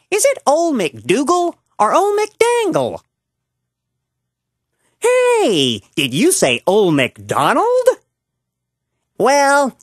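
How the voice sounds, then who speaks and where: A woman's voice: fairly high pitch, dry recording, studio quality, full character voice. A woman voicing a lazy, flustered young frog puppet in a children's show, hesitant and forgetful.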